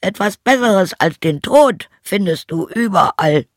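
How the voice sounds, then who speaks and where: An eager old donkey from a storybook, acted out by a narrator, pitched far up and loud. He urges his companions along with drawn-out, emphatic phrases. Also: expressive, dry recording, clean studio sound, natural voice. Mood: eager, encouraging. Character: eager, encouraging old male donkey turned musician.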